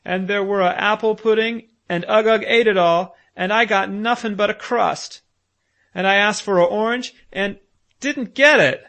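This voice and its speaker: A man's voice: high voice, normal speed, fairly bright tone, voice pushed up. A man voicing a small fairy boy who talks in lisping baby talk. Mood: tearful. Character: little boy, fairy child, babyish and plaintive.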